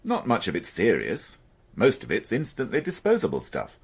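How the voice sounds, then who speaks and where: Husky, sardonic police inspector. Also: male voice, lowish voice, huge pitch swings, moderate pace, exaggerated voice. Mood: dry, defensive. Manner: dry, sardonic. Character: weary, defensive male police inspector, sardonic. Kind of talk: radio crime drama acting.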